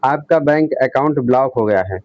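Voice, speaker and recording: A man's voice: medium pitch, animated, moderate pace, no room sound. A man explaining tech as a video host, reading out points and restating them with frequent checking tags. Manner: repetitive, often adds a checking tag. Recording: dull lo-fi close recording.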